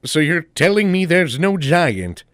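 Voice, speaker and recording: A bumbling, self-important superhero, acted by a man, with a very lively pitch range. He is good-natured and mock-heroic, tripping over his words in a radio-play style, in a dry studio sound. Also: a little slow, no echo. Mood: sheepish, bluffing.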